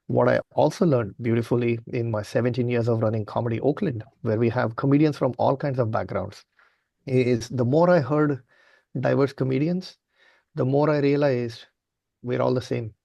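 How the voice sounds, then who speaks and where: A man's voice: medium pitch, steady tone, moderate pace, creaky, dark tone, no echo. A man from India who works as a comedian and show producer, talking in a casual panel discussion.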